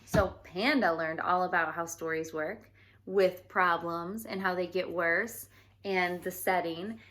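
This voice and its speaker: Slow-paced woman reading to children. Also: lowish voice, rough recording. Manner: deliberate, expressive storytelling delivery. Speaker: teacher. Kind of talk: read-aloud and instructions for children.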